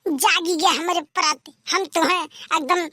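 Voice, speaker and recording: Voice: extremely high pitch, fairly even intonation, measured pace, bright, forceful tone, dry recording, studio quality, full character voice. A performer voicing a cheeky, scheming village lad in an Awadhi comedy cartoon, with an Awadhi accent and a teasing, argumentative manner. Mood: teasing, wheedling.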